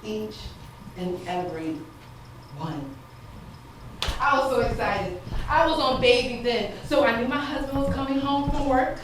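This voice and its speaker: Echoey, slow, emotional stage monologue by an actress. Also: female voice, sits high. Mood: joyful, amazed, then tender, excited. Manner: deliberate, dramatic storytelling with repeated phrases. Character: hopeful, emotional wife recounting her long-awaited first pregnancy. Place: stage in a theater. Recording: very echoey stage, distant mic, audible room noise.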